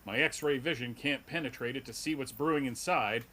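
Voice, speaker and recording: A man reading as an earnest comic book superhero. The voice is sharp and forceful at a medium pitch, alert and exclamatory. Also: normal speed. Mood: alarmed, determined. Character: earnest, alert adult male flying superhero. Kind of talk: dramatic comic book table read.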